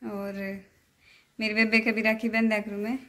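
A woman's voice: medium pitch, flat delivery, normal speed, fairly bright tone. A woman in her twenties with a Haryanvi accent, chatting casually to a phone held at arm's length for a family vlog. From Haryana, India. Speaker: family vlogger. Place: tiled room in a house, indoors.

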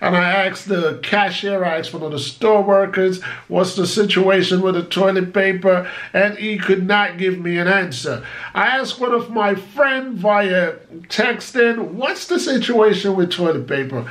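A Jamaican man in his forties, a vlogger chatting casually to camera from a small home office, animated and gesturing as he talks. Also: high-pitched, a little slow. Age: 40s.

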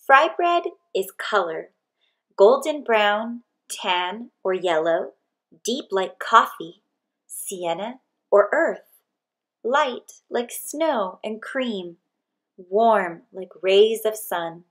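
A woman reads a picture book aloud in a mid-range voice. Her pace is very slow and measured. She phrases each short line deliberately and expressively, leaving space between them. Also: mid-range voice, animated, a bit bright. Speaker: read-aloud narrator.

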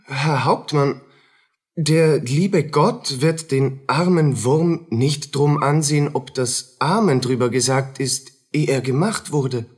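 Husky, downtrodden soldier. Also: male voice, a little high. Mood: earnest, defensive. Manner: deferential, earnest, halting. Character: poor, submissive, troubled common soldier. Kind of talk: German radio play acting.

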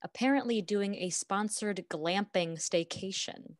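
A woman's voice: medium pitch, a little slow, no echo, close to an everyday voice. A distracted young wife played by a woman in a naturalistic radio play. She speaks in a mid-range voice, offhand and withdrawn, recorded very dry. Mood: preoccupied. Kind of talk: naturalistic radio drama dialogue.

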